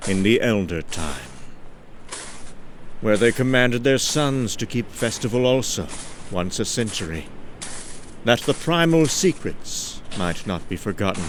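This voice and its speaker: Guy acting as lonely spooked traveller telling a horror story, really low voice, slow and halting, dramatic. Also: very animated, a little hiss or hum. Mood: solemn, brooding. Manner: brooding, halting, theatrical. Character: lonely, uneasy male traveller narrating a gothic horror tale. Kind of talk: dramatic scripted horror narration.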